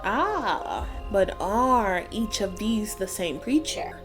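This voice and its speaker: A woman playing an elderly, knowing witch in a dark fairy tale audiobook, speaking in measured, cryptic and solemn storytelling. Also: medium pitch, animated. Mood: cryptic, calm. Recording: music behind the voice. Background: music.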